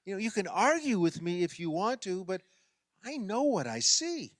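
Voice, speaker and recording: A man acting in a radio play as a self-pitying, sarcastic amateur ball player who speaks in an exasperated, halting way. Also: high-pitched, sing-song, no echo, clean studio sound. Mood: accusing.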